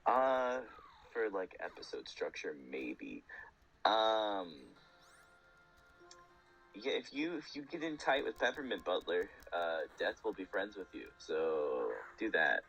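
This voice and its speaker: Low-voiced man rambling enthusiastically over music. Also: sing-song, slow, a bit bright, muffled recording. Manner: rambling, enthusiastic explaining with frequent "like". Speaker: guest speaker. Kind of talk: casual podcast chat.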